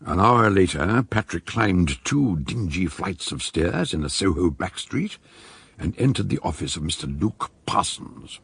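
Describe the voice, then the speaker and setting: A man with a gravelly, husky voice, narrating a radio drama from a script. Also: sits low, animated, a little slow, a bit bright, full character voice.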